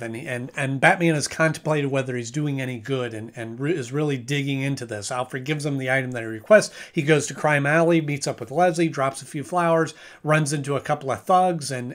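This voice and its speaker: A man giving a casual video review of a cartoon episode, rambling and correcting himself with frequent restarts. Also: mid-range voice.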